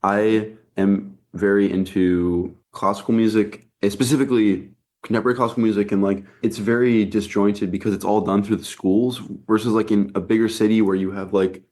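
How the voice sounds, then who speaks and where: A man's voice: lowish voice, fairly even intonation, fairly quick, a little mellow. A man in his twenties, a band member, talking through his thoughts in a rambling way with lots of fillers. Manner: rambling, thinking aloud, many fillers. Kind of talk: casual interview talk.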